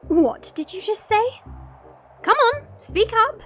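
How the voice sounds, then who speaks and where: A woman's voice: very high voice, very animated, takes it slowly, soft background music, exaggerated voice. A woman playing a haughty, short-tempered dragon princess in an audio drama, imperious and mocking. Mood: indignant. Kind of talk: audio roleplay drama acting.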